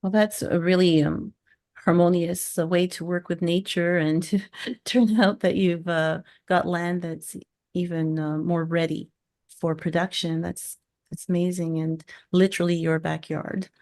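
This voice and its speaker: A middle-aged woman with a slightly low voice hosts a conversational interview. She phrases things in a measured, reflective way and shows appreciation for her guest. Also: steady tone, a little mellow. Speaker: podcast host, interviewer. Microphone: studio microphone with pop filter, video call.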